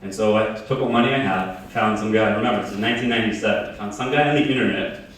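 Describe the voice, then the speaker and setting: A man's voice: fairly low pitch, fast talker, fairly bright tone, recorded from a distance, a little hiss or hum. A man in his thirties giving a casual, joking keynote talk to a live audience, telling his story in a large conference hall. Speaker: keynote speaker. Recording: very echoey hall, heard from afar.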